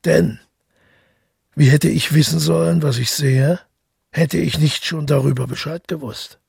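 Husky, gravelly, brooding male narrator. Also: on the high side, fairly even intonation, unhurried, a little mellow, no echo, clean studio sound, full character voice. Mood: agitated, questioning. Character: brooding, haunted male first-person narrator recalling the past. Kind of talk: literary audio drama monologue.

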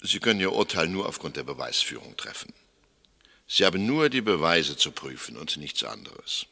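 A man's voice: deep voice, gravelly, bright, forceful tone, heavily acted. A male actor playing a grave English judge who instructs a jury solemnly and emphatically in a German radio play. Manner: solemn, emphatic, deliberate rhetorical phrasing. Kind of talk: 1960s German radio play courtroom speech. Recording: reverberant hall.

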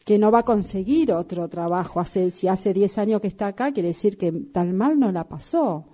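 A woman acting as a bossy, scornful workshop boss in an improvised radio drama, curt and quick to interrupt. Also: medium pitch, warm tone, natural voice. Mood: cold, insistent.

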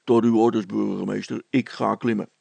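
A man's voice: on the low side, fairly even intonation, a little fast, creaky, warm tone, no room sound, pitched below the performer's own voice. A man voicing an obedient, plain-spoken village constable in a storybook reading, deferential and earnest.

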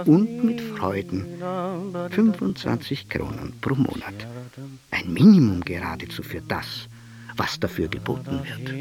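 An actor playing a boastful bohemian writer declaiming his begging letter in a literary radio play. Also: mid-range voice. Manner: grandiloquent, declamatory, swooping emphasis.

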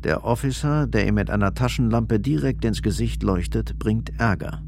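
Male radio drama narrator, lowish pitch, gravelly fry, scripted dramatic storytelling, music bed. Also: normal speed, background noise.

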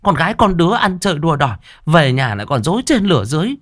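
Male storyteller as a screeching stepmother, very high, dry close sound. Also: lively intonation, normal speed, pushed and bright, no echo, exaggerated voice. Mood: scolding. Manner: screeching, wailing, scolding. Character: shrill scheming stepmother, middle-aged woman.

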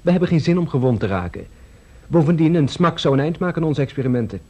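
Assured young-aviation inventor, rapid and husky, calm and practical, seventies radio play. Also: male voice, fairly high pitch. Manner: matter-of-fact, assured. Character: calm, confident male aeroplane pioneer. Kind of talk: 1970s Dutch radio drama acting.

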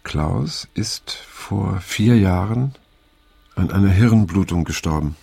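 An actor playing a weary, reflective middle-aged man in naturalistic radio drama, speaking haltingly and pensively with a gravelly, husky voice. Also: low voice, mellow, no room sound, full character voice. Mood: resigned, somber. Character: weary middle-aged male survivor of a hippie circle, reflective.

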